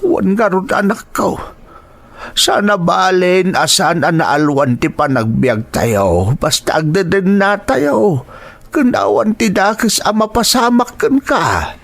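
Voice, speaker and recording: High-voiced, worried old Filipino father. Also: very animated, slow pace, vocal fry, heavily acted. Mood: resigned. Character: caring, worried older father. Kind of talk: radio drama acting.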